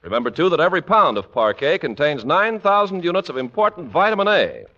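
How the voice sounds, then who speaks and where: Male American announcer, 1940s radio sponsor pitch, raised pitch, punchy bright tone, vintage lo-fi broadcast sound. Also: big pitch swings, quick pace. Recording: dull, band-limited 1940s radio recording.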